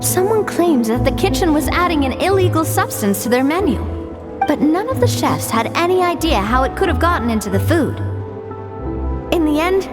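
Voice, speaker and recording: A woman voicing a composed young woman who explains things in a measured way. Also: sits high, big pitch swings. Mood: explaining. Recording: game audio with loud music.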